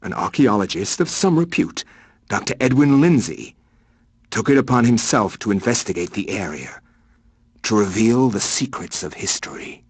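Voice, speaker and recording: An actor playing a learned chronicler, narrating a horror game in a grave, measured and literary manner. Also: male voice, lowish voice, animated, slightly rough, no echo.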